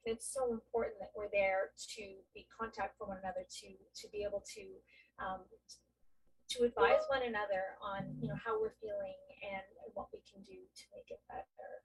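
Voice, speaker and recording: A woman at an ordinary pitch speaks earnestly and thoughtfully on a panel, often stopping to rephrase herself. Her voice is picked up from some distance, so it sounds a little removed. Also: animated, normal speed, husky. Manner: earnest, thoughtful, self-correcting. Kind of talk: reflective panel discussion. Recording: voice distant from the mic.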